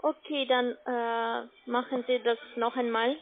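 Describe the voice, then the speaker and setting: A woman's voice: on the high side, little pitch movement, a little slow. A woman working as a call-center agent, questioning insistently and repetitively in a phone conversation. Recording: thin narrow telephone line.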